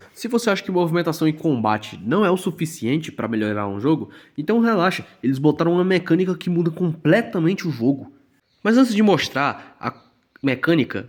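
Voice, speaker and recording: A man's voice: a little high, a little mellow. A young man with a Brazilian Portuguese accent hosting a casual game review, informal and slangy, with dry sarcastic asides. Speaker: gaming video host.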